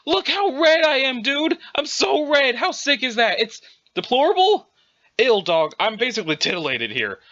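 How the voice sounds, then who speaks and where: Guy putting on a high, bouncy, super hyped muscle sprite bragging about himself, kinda dull lo-fi sound. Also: very animated, normal speed, full character voice. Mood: boastful. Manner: shouty, gleeful, pompously formal words mixed with slang. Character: boastful, muscle-obsessed male sprite, loud and overexcited.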